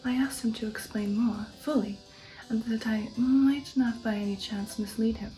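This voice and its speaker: A woman with a slightly high, mellow voice reads a novel aloud for ASMR. She is soft and partly whispered, keeping a very even, careful line. Faint music plays behind her. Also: moderate pace, poor audio. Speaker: narrator reading a novel aloud. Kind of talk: ASMR audiobook narration.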